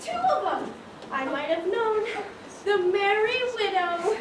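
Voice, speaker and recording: Woman as nosy society lady, lively swooping delivery, stage comedy, exclamatory, distant noisy recording. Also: very high voice, slow, heavily acted. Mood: delighted, teasing. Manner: arch, theatrical, exclamatory. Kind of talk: amateur stage comedy acting. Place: school theatre stage, distant microphone. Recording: echoey stage from far off, noisy.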